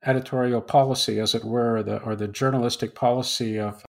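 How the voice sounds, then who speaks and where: A man hosting an interview, asking curious, polite questions with some halting restarts. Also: medium pitch, steady tone, creaky. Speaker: interviewer host.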